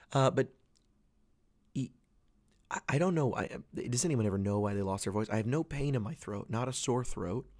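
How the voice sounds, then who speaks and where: Podcast host, man, 30s: hoarse from losing his voice, low gravelly tone, loose and earnest. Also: darkish tone, dry recording. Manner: rambling, earnest, many restarts. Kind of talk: casual solo podcast talk. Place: away from home, dry small space.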